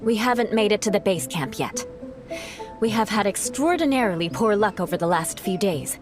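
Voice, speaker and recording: A woman's voice: medium pitch, animated, moderate pace, lightly acted. A woman voicing a formal, precise young adventurer in a video game. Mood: composed. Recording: game audio with music.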